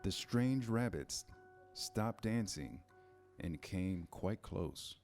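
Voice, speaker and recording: A man reading scripted storybook narration in a measured, storytelling manner. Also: low voice, animated, a little mellow, faint music behind.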